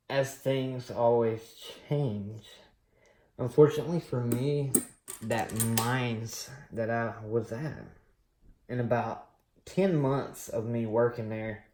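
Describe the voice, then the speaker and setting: A man in his late thirties telling his story on a coin collecting vlog, rambling and relaxed with many pauses and fillers. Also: mid-range voice, roomy sound. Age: late 30s. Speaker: coin collecting channel host. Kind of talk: casual personal storytelling while unboxing.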